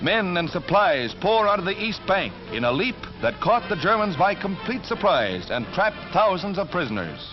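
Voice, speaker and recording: Dull vintage soundtrack, loud music behind, American male newsreel narrator, dramatic, emphatic, scripted delivery. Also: high-pitched, very animated, moderate pace, hardly any pauses. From United States. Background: orchestral music.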